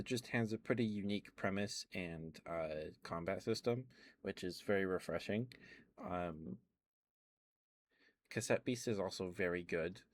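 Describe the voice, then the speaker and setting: Very deep-voiced man rambling softly. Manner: rambling, many ums and uhs; relaxed, quiet and unhurried. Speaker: ASMR streamer. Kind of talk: soft-spoken ASMR rambling about games.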